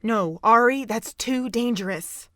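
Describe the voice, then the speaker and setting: Woman voicing anxious young woman, caring, earnest, fairly high, dry close sound. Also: measured pace, sharp tone, no echo. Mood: worried. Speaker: voice actor in fan audio drama. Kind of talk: fan audio drama acting.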